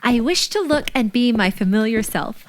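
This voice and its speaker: Lively, happy young bride played by a woman. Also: on the high side, moderate pace, noisy background, natural voice. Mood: happy, assured.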